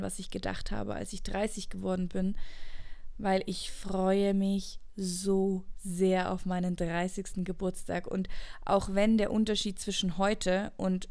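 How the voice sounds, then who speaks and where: A woman in her late twenties talks through her thoughts as if in a personal audio diary. Her pitch is average and her pace is unhurried. She sounds earnest and introspective, repeating words to stress them as she goes. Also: vocal fry, darkish tone. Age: late 20s, turning 30. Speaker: podcast host. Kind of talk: reflective personal monologue, unscripted.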